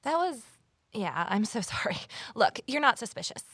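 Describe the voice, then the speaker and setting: An actress playing an eager, easily flustered rookie conservation officer and amateur sleuth in an audio drama, earnest and inquisitive. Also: female voice, on the high side, big pitch swings, normal speed, fairly bright tone, husky, no room sound, studio quality, full character voice. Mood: sheepish, apologetic. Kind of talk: naturalistic audio drama acting with first-person narration.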